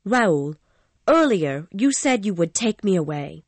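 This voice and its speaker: A man reading a story and voicing a frightened young woman singer who pleads anxiously in a raised falsetto. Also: very animated, measured pace, no room sound, exaggerated voice. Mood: pleading.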